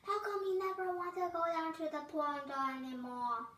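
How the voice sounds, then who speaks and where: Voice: extremely high pitch, moderate pace, bright, forceful tone. A child voicing an exasperated storybook princess who whines, nags and scolds emphatically. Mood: nagging. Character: nagging, whiny, exasperated young princess. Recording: distant mic, roomy echo, faint noise.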